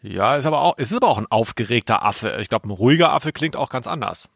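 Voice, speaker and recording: A man of average pitch talks casually as a podcast co-host. He is wry and opinionated, recorded dry with no room echo. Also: animated, fast talker, gravelly. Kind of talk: casual conversational commentary on audio plays.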